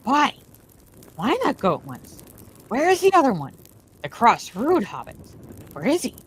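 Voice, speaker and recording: A woman voicing a wretched, sly male creature who hisses and whispers to himself in slow, wheedling words. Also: fairly high pitch, wildly swooping pitch, breathy edge, pitched above the performer's own voice, heavily acted. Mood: doubtful. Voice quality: hissing, whispery.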